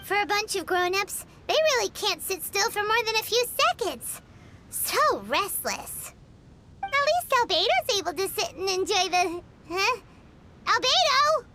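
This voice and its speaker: A woman voicing a small, excitable, chirpy fairy companion who talks bossily in an anime-style video game. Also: extremely high pitch, a little slow, a little hiss or hum, full character voice. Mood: exasperated. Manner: chirpy, bossy, exasperated. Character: small excitable childlike floating fairy companion, female, talks in third person. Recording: studio voice under game music.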